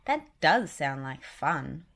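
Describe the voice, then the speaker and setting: A woman's voice: deep voice, very animated, slow pace, gravelly, fairly bright tone, slightly rough, heavily acted. A woman voicing a cheerful, enthusiastic male cartoon dog in a children's storybook. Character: cheerful, eager male cartoon dog running a pie shop.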